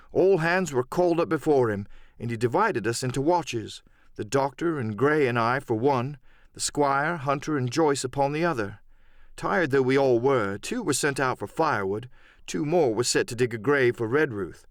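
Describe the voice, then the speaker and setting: A man reads an adventure novel as a dramatic audiobook. His mid-pitched voice moves with plenty of expression while staying measured and story-like. The recording is dry and close. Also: no room sound. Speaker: audiobook narrator.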